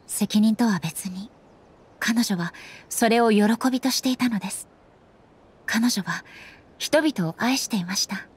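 An actress playing a solemn, composed female ruler in an anime game, speaking formally and earnestly with measured politeness. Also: medium pitch, husky. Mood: solemn, reflective. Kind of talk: scripted anime game dialogue.

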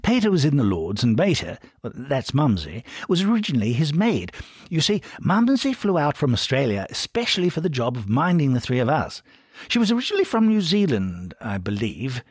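A man's voice: medium pitch, wildly swooping pitch, brisk, breathy edge, dry recording, studio quality, pitched above the performer's own voice. A man voicing a polite, slightly hesitant big brother with an upper-class British accent in a storybook. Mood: explaining. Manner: polite, hesitant, old-fashioned diction.

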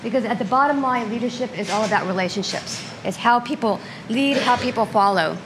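A woman's voice: fairly high pitch, fairly quick, background noise. Woman giving a conversational tech talk. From United States. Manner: conversational, with frequent ums and restarts. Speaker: guest speaker. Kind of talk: lecture presentation. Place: conference room with slides. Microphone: lectern mic, later handheld.

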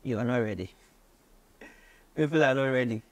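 A man's voice: medium pitch, normal speed. A man in his twenties with a West African accent, answering casually as a guest in short phrases, mixing English with local language. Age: 20s. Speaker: musician, interview guest. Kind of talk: casual interview chat. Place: small radio studio office, indoors.

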